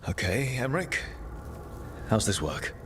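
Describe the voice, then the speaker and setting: An actor playing a supportive, plain-spoken adventurer in a fantasy game, sincere and encouraging. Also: male voice, medium pitch, sing-song, a little slow, over music.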